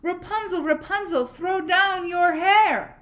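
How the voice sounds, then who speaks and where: A woman voicing a lovesick young prince from a storybook, calling out pleadingly in loud, drawn-out phrases. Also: very high-pitched, pitched above the performer's own voice, exaggerated voice. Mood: hopeful calling. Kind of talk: storybook read-aloud character voice.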